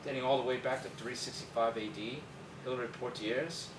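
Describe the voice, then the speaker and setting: A man's voice: mid-range voice, fairly even intonation, a little fast, close to an everyday voice. A man playing a bitter, sarcastic office worker in a screenplay table read, with an exasperated manner. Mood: scornful. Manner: sardonic, exasperated, swearing. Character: bitter, sarcastic male office worker jaded by daily routine. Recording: distant, echoey room with background noise.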